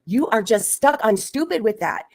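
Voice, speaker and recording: A woman's voice: a little high, big pitch swings, moderate pace. A woman in her fifties co-hosting a livestream, lecturing indignantly and repeating herself for emphasis. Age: 50s. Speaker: livestream co-host. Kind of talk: casual livestream chat.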